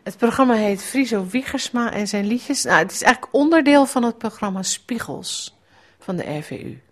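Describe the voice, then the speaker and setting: A woman's voice: fairly high pitch, expressive, moderate pace. A middle-aged woman, telling a personal recollection in a conversational, reflective way. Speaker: writer, guest presenting a personal radio piece.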